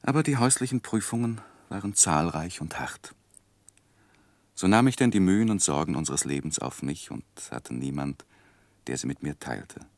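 Earnest, low-voiced young husband. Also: male voice, lively intonation, moderate pace, gravelly, a little mellow, no room sound. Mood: reflective narration. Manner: earnest, hesitant in argument. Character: earnest young husband, anxious, patient, narrating his life. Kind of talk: 1960s German radio drama acting and literary narration.

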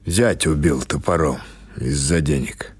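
A man's voice: a bass voice, a little slow, husky, no echo, exaggerated voice. A man voicing an old village watchman in an audio drama, slightly tipsy, speaking with sighs and sedate self-importance. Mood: sighing. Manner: sighing, sedate, dignified.

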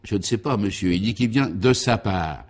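A man's voice: lowish voice, lively intonation, normal speed. A man voicing a deferential, wordy male hotel valet in a comic radio serial. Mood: polite, hesitant. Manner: deferential, long-winded, circling phrases.

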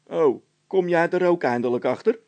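Man doing high, loud, stubborn frightened workman. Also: moderate pace, muffled recording, natural voice. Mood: jittery, vindicated. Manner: insistent, complaining.

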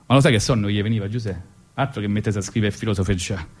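A man's voice: lowish voice, rapid-fire, a little mellow, husky. A man in his forties with a Roman accent telling ironic stories on the radio, colloquial and conversational, with a wry, mock-philosophical manner. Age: 40s. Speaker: radio show host and storyteller. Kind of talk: ironic spoken storytelling, conversational.

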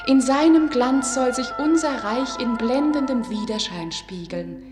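An actress playing an enchanted serpent maiden, solemnly and lyrically telling a legend in a radio play. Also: female voice, fairly high pitch, unhurried, close to an everyday voice. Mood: solemn, wistful. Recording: long echoing reverb. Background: music.

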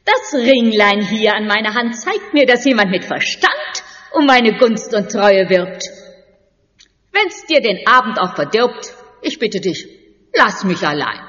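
A coquettish, theatrical stage beauty in a radio play. She speaks slowly with lively pitch. The recording is dull and carries long hall reverb. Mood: haughty, coquettish. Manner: theatrical rhymed delivery. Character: coquettish, haughty stage actress playing a fickle beloved. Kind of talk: German audio drama acting.

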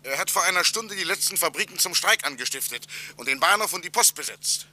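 An actor playing a nervous party secretary reporting news hesitantly in a radio drama. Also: male voice, fairly high pitch, expressive, fairly quick, pushed and bright. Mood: anxious.

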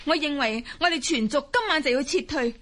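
A forceful chieftain's wife, acted by a woman speaks Cantonese in an audio drama. Her voice is high and piercing, with animated, insistent delivery. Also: brisk. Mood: urgent, insistent. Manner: insistent, urgent.

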